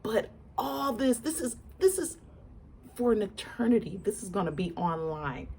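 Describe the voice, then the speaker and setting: A woman's voice: mid-range voice, animated, moderate pace, creaky, a little mellow, breathy edge. A woman giving casual celebrity gossip commentary in a conversational way, repeating words for emphasis and addressing her viewers directly. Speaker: online commentator.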